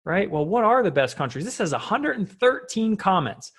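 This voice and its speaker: A man's voice: high-pitched, big pitch swings, normal speed, slightly rough. A man presenting a casual, unscripted tutorial as a coach, talking conversationally and often checking in with the listener. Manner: conversational, frequently checking in with "right?".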